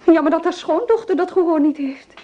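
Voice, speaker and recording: Radio drama maid: harried young gossip, high chattering voice, faint background noise. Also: female voice, fairly quick, highs cut off. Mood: gossipy. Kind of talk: radio play acting. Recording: faintly noisy old radio play recording.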